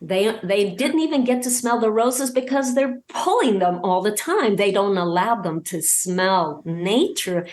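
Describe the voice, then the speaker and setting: A woman's voice: medium pitch, unhurried, hardly any pauses. A woman talking casually as an interview guest, hesitant and wandering as she searches for words. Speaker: podcast guest and voice actor.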